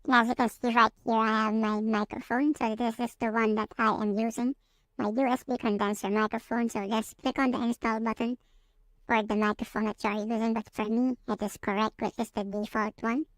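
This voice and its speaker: Male host voicing a pitched-up, female-sounding voice-changer voice, matter-of-fact software tutorial delivery, dry close sound. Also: level tone, a little fast, dry recording, poor audio. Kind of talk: casual step-by-step software tutorial. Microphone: USB condenser microphone, close.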